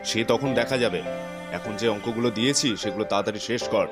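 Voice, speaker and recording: A man's voice: lowish voice, a little fast, over music, close to an everyday voice. Man acting stern middle-aged father. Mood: scolding. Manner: scolding, admonishing. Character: stern, exasperated but caring middle-aged Bengali father. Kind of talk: audio story drama acting.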